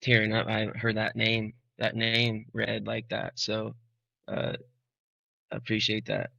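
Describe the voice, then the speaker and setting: Male guest from Arizona, halting and emotional, bright, tense tone, dry muffled sound. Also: on the low side, creaky, no room sound. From Arizona, United States. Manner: halting, emotional, many fillers and restarts. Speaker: musician guest. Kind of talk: casual interview answer.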